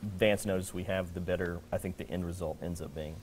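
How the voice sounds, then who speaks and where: Low-voiced relaxed male design guest. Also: fast, a little hiss or hum. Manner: relaxed, a little hesitant. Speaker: kitchen and bath designer, guest. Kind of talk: TV interview. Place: TV studio set. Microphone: lapel mic.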